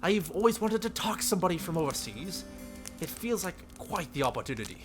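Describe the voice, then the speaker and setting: A man's voice: fairly high pitch, sing-song, brisk, over music. A man voicing a cheerful, sheltered young princess in a visual novel, with an airy, regal, slightly formal manner. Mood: friendly. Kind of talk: visual novel character read-aloud.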